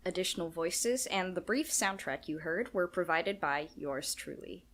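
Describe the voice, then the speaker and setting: A woman's voice: on the low side, animated, moderate pace, a little hiss or hum. A woman who creates and edits a podcast, reading scripted closing credits to listeners.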